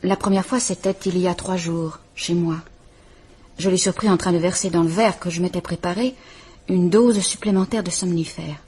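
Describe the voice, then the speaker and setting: An actress playing a frightened, formal estranged wife who is visiting a police inspector, speaking in a restrained, measured way. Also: female voice, fairly low pitch, fairly even intonation, a little mellow, husky. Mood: fearful, measured. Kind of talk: 1950s French radio drama acting.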